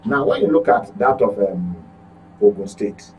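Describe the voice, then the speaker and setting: A man's voice: fairly low pitch, animated, unhurried, recorded from a distance, a little hiss or hum, poor audio. A man with a Nigerian accent giving political commentary, often repeating and correcting his words as he goes. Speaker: current affairs commentator.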